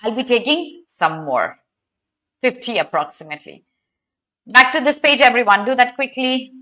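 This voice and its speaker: A woman's voice: on the high side, lively intonation, normal speed, sharp tone. A young woman with an Indian accent teaching a live online exam prep class, giving instructions directly to students.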